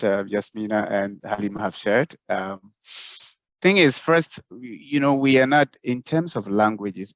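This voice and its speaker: A man from Ghana, a communications professional speaking as a webinar guest in a measured, thoughtful way with frequent pauses. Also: on the low side, no echo. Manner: measured, thoughtful, many "um"s and restarts. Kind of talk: webinar panel answer. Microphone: video call. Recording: dull muffled online call audio.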